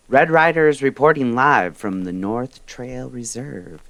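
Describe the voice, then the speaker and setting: A performer voicing a late-night radio host who announces in a measured, ominous, formal way. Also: medium pitch, no room sound. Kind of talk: scripted radio announcer narration.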